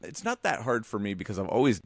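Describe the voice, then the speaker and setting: A man's voice: fairly low pitch, fast, creaky, no room sound, studio quality. A man in his forties chatting casually as a podcast co-host, rambling and restarting his sentences as he tells stories, with some casual swearing. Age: 40s. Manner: rambling, self-interrupting, animated storytelling; casually profane. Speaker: visual artist.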